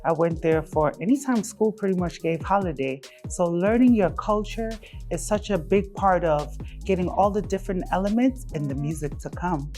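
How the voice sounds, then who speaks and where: A woman from Jamaica, giving casual, animated and emphatic interview answers as a music artist. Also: fairly low pitch, normal speed. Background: background music.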